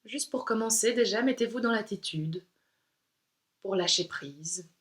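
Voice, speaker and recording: A woman yoga instructor leading a guided meditation in a calm, soothing, unhurried way with pauses. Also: mid-range voice.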